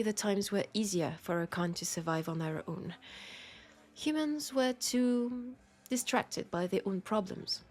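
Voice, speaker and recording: A woman playing a lonely, centuries-old female vampire in an intimate audio drama, with a French accent and a soft, confiding, unhurried delivery. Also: lowish voice. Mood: wry reminiscence. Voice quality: soft, breathy, often dropping to a whisper. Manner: unhurried, confiding, pausing between phrases.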